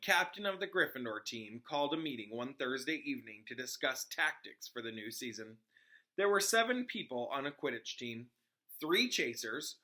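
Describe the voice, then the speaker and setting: Bright-voiced man reading aloud. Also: fairly high pitch. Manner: informal, pauses to explain to listeners. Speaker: teacher reading aloud to students. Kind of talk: children's novel read aloud with casual asides and explanations.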